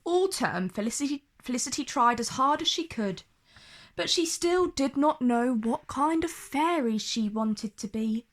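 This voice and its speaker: A woman reads a storybook aloud for children. Her voice is high and moves slowly and deliberately through the text. She is expressive, with plenty of rise and fall. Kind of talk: scripted storybook narration read aloud.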